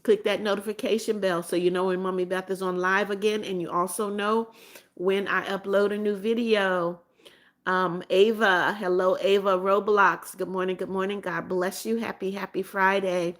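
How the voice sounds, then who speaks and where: A seventy-year-old woman hosting a relaxed livestream, reading viewers' comments aloud and chatting back to each one. Her voice sits at an ordinary middle pitch. She repeats phrases, refers to herself by a motherly nickname, and fills gaps with ums and small restarts. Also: normal speed. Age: 70s. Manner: calls herself Mommy Beth and repeats phrases. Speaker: livestream host. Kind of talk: casual live-stream chat.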